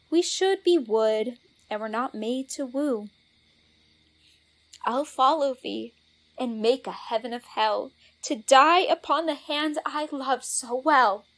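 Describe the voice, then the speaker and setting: Indignant, lovelorn young woman played by an actress: fairly high, slow, plaintive classical verse. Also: a bit bright. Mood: resolved, lovelorn. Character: lovesick, indignant young woman chasing her beloved. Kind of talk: classical Shakespearean verse acting.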